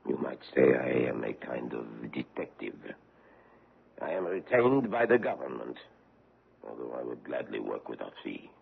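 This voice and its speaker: An actor playing a courteous, probing foreign investigator on the trail of a war criminal, in a 1970s radio drama. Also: male voice, extremely low pitch, sing-song, normal speed, vocal fry, slightly rough, exaggerated voice. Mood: suave, probing. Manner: courteous, probing, gently menacing. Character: suave middle-aged foreign male war-crimes investigator. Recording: tinny old radio broadcast.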